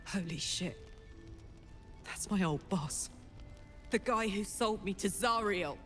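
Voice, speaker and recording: A woman's voice: mid-range voice, slow pace, a bit bright, soft background music. A woman playing a brash ex-bodyguard warrior in a fantasy video game, blunt and emotive. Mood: bitter. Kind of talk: dramatic video game acting.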